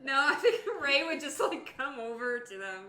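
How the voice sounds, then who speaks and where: A woman's voice: sits high, lively intonation, moderate pace, bright, forceful tone. A woman playing a tabletop game, chatting casually in a playful, wry way about her character. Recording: echoey room with faint background noise.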